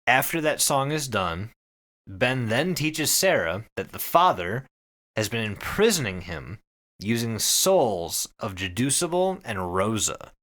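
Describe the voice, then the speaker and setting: A man's voice: mid-range voice, big pitch swings, a little slow, a bit bright. A man hosting a podcast, telling a story in casual, emphatic chat with plenty of swearing. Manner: profane, emphatic, repeats phrases for effect.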